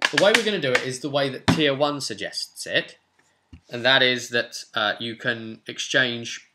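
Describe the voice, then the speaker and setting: A man in his twenties chatting casually and thinking aloud as he explains a tabletop game to viewers. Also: mid-range voice. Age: 20s. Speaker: host of a tabletop roleplay channel. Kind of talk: casual explanatory chat while rolling a game character. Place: small home room, indoors. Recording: roomy echo, background hiss and clicks.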